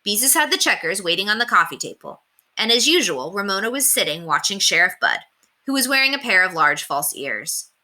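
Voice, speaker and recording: A woman's voice: medium pitch, big pitch swings, a little fast, pushed and bright. A woman narrating a children's story aloud from a book.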